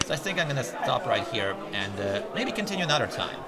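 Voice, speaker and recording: A man's voice: mid-range voice, big pitch swings, fast, over a noisy crowd. A man recording a casual let's play of a visual novel, talking to viewers and reading text aloud. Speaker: video game streamer, host. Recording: loud background music. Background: loud game music.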